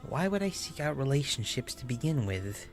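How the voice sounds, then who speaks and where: A man playing an obsessive, unhinged narrator in a horror story, speaking in a deliberate, halting, confessional way with stammered repeats. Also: mid-range voice, very animated. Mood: obsessive, confiding. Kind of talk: dramatic first-person horror story reading. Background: music.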